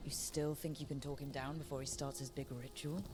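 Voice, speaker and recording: A woman's voice: very deep voice, level tone, brisk. A woman voicing a plucky, questioning young adventurer in a video game, direct and confident. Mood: concerned. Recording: loud game music behind the voice. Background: loud game soundtrack.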